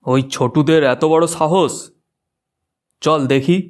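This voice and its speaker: A man's voice: on the high side, steady tone, slow pace, smooth. A man playing a bossy, threatening male kite bird who leads a gang in a children's cartoon. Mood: angry. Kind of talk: Bengali children's cartoon voice acting.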